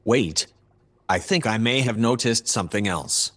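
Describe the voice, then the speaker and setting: An actor playing a polite, precise AI observer in an audio drama, speaking in a formal, measured way. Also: male voice, lowish voice, lively intonation, fairly bright tone. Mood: calm, formal. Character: polite, precise male AI observer aboard a spaceship.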